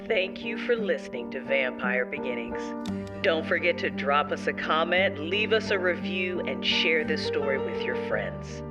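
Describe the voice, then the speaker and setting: A woman's voice: low voice, animated, normal speed, lo-fi sound. A woman reading scripted outro narration and credits as the announcer of an audio drama. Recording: loud music under voice. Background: loud guitar music.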